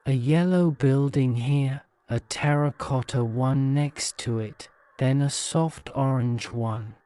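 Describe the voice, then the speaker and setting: A man narrating a guided-imagery sleep story in a soothing, measured manner. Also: medium pitch, mellow, clean tone, no echo.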